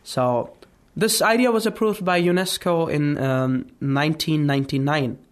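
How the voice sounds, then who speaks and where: A man's voice: on the high side, moderate pace. A man in a radio discussion who talks chattily, leans on fillers like "like" and "you know", and repeats himself as he explains. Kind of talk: radio talk show discussion.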